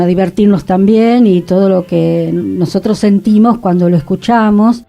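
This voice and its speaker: Mellow, measured woman. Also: mid-range voice. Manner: measured, explanatory. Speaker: radio co-host. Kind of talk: reflective radio discussion. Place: radio studio.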